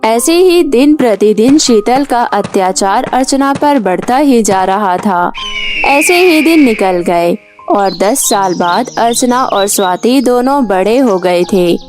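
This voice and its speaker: A woman narrating a cartoon moral story in a calm, measured way. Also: a little high. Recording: faint music behind.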